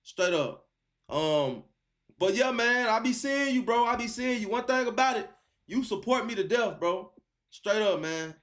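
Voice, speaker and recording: A man's voice: sits high, animated, measured pace, a bit bright. A man hosting a live call-in stream, chatting casually and encouragingly in slangy talk, repeating phrases for emphasis. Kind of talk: casual chat with a caller.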